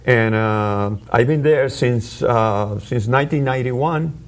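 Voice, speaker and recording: A man born in Puerto Rico, a guest on a talk show, telling his story haltingly with many pauses and restarts. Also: medium pitch.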